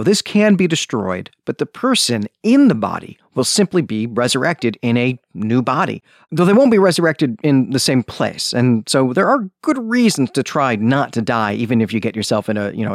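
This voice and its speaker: A man's voice: medium pitch, sing-song, normal speed, creaky, no room sound, clean studio sound. A man hosting a book podcast, talking in a conversational, discursive way with frequent asides. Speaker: podcast host.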